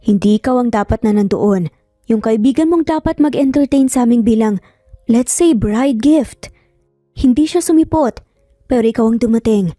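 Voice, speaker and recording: A woman's voice: on the high side, animated, moderate pace, dark tone, no echo, clean studio sound, faint music behind. A woman voicing a serious, heavy-hearted father in a dramatic audio story. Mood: grave confession.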